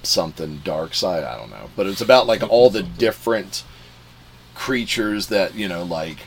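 A man's voice: deep voice, lively intonation, moderate pace, gravelly, breathy edge. A man hosting a casual podcast, joking around and acting out quotes in silly voices. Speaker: podcast host. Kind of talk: casual chat.

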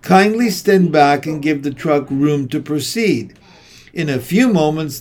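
Fairground loudspeaker man, booming and pompous, fairly high voice, as read by a male narrator. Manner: grand, formal, booming.

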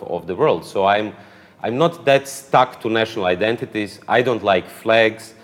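A low-voiced man with a Croatian accent answers interview questions in English, his second language. He talks at length, stumbling through frequent "uh" fillers and self-corrections. His voice carries a long reverb tail. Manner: hesitant, frequent "uh" fillers and restarts. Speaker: interview guest, political activist.

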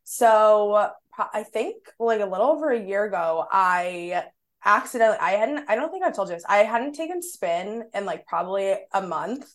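A woman's voice: mid-range voice, expressive, normal speed. A young woman co-hosting a podcast, chatting casually in a bubbly, giggly way and saying "like" a lot. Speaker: podcast co-host. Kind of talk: casual chat.

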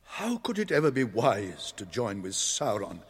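An actor playing a wise, steadfast old wizard in a radio drama, speaking in a measured, stern and dry manner. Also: male voice, on the low side, wildly swooping pitch, breathy edge, heavily acted. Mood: defiant. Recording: reverberant chamber radio drama sound.

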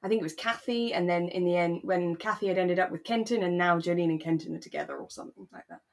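Woman podcast cohost, bit on the low side, relaxed chatty recap style. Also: fast. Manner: conversational, checks in with co-host. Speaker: podcast co-host. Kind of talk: relaxed casual chat recapping a radio drama.

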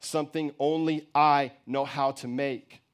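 A man voicing a soft, patient, sorrowful mother. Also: fairly high pitch, clean tone, lightly acted. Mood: tender. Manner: tender, quiet, calm, unhurried.

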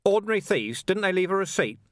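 A gruff middle-aged policeman, as a male reader puts him on, blunt and pushing for answers. The delivery rises and falls lively. The storybook recording is dry and close. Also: high voice, quick pace, no echo, pitched above the performer's own voice. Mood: urgent. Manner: blunt, urgent.

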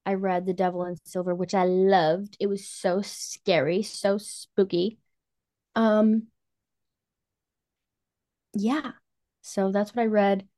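A woman's voice: mid-range voice, level tone, takes it slowly, pauses often, mellow. A woman co-hosting a podcast, talking about books in an informal, conversational style with casual slang. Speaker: podcast co-host. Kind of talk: casual book chat.

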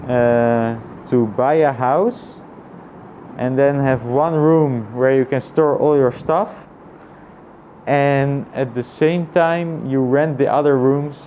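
A man thinking aloud in a casual, reflective personal vlog, with halting phrasing and frequent repetition. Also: medium pitch, fairly even intonation, lo-fi sound, a little hiss or hum. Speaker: vlogger.

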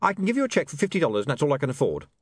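Imagine an earnest, prim young gentleman reporter, speaking crisply and properly as a man voices him in an audiobook. His voice is lifted noticeably above a typical man's. The sound is dry and close. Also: on the high side, very animated, very fast, no room sound, pitched above the performer's own voice. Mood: insistent.

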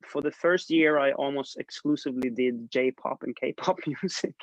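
A man's voice: mid-range voice, moderate pace, no room sound. A young man from Sweden, a songwriter guest, chatting casually on a video call and laughing often. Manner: relaxed, laughs often, trailing fillers. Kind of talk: casual interview chat. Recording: dull lo-fi dry sound.

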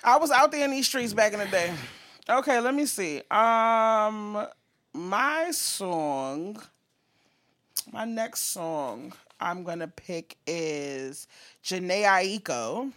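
A woman's voice: medium pitch, expressive, takes it slowly, fairly bright tone. A woman co-hosting a podcast, chatting casually and animatedly about music, swearing freely and breaking into song. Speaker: podcast co-host.

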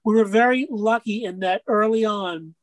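Raspy-voiced man telling stories. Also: high-pitched, normal speed, no room sound. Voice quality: raspy speaking voice. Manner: rambling storyteller, many fillers. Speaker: musician guest. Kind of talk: casual interview talk.

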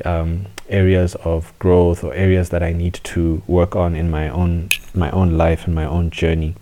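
A man's voice: sits low, dark tone. A man, hosting a solo podcast and speaking reflectively, with frequent restarts and hesitations. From South Africa. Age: 30s. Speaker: podcast host.

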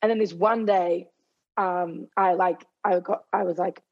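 A woman's voice: on the low side, fairly even intonation, a little slow, slightly rough, muffled recording. A young woman in her twenties, a guest in an interview, talking casually and saying "like" constantly while she is losing her voice. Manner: chatty, heavy use of "like". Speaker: guest runner.

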